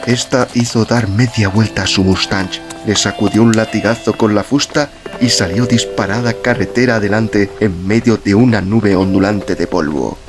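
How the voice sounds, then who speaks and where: A man's voice: on the low side, normal speed, few pauses. A man reading literary narration as an audiobook narrator. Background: music.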